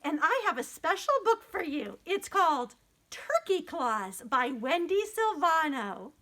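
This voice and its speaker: Female children's storyteller: high, bright voice, emphatic and expressive, reading a picture book aloud. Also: unhurried. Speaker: librarian storyteller.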